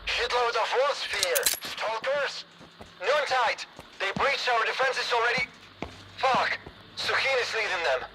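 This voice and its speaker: A man voicing a panicked male soldier in a video game, shouting frantic radio calls. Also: extremely high pitch, lively intonation, slow, a little hiss or hum, exaggerated voice, low-quality recording. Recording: game audio with loud music.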